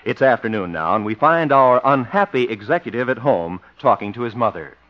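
A man's voice: mid-range voice, lively intonation, a little fast. A man announcing in a polished, emphatic, formal 1950s radio style. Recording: dull old radio recording.

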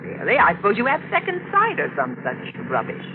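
Anxious radio heroine, highly expressive. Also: female voice, brisk. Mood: rattled, haughty. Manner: dramatic, clipped, anxious. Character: tense, haughty married woman, easily frightened. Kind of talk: 1940s radio drama acting and first-person narration. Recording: noisy, muffled 1940s radio recording.

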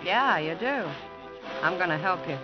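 A tough American bar girl, talks low and slangy, sly and world-weary. It is a mid-century radio drama, dull in sound, with music playing behind her. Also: lively intonation, unhurried. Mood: cool, confiding. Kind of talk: 1950s radio drama acting.

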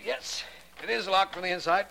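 An actor playing a genial, skeptical gentleman companion to a detective, wry and well-spoken, in 1940s radio mystery style. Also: high voice, moderate pace, vocal fry, a little hiss or hum, exaggerated voice, rough recording. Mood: grave, alert.

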